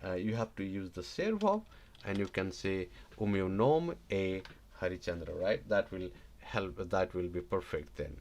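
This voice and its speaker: A man teaching a live online language lesson, repeating example phrases slowly and checking in with "right?" after each one. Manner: animated, stresses words with sudden loud high jumps.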